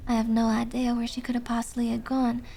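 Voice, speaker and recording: A woman playing a search and rescue officer who tells eerie forest stories in a measured, serious way. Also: high voice, completely flat delivery, a bit bright, a little hiss or hum, exaggerated voice. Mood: somber, eerie. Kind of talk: first-person scary story reading.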